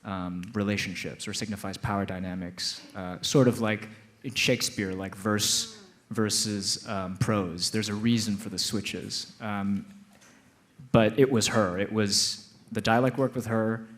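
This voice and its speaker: An American man speaking as an actor guest in a live panel conversation on stage, animated and prone to restarts. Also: fairly low pitch, unhurried. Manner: animated, laughing, many restarts. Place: stage before live audience.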